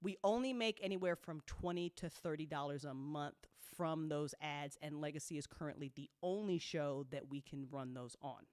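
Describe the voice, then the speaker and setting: Indie audio creator giving a candid business update; medium pitch, informal, emphatic, unfiltered language, dry sound. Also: normal speed, hardly any pauses, a little mellow, smooth, no room sound. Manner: frank, casual, swears freely. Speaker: audio drama creator and network host.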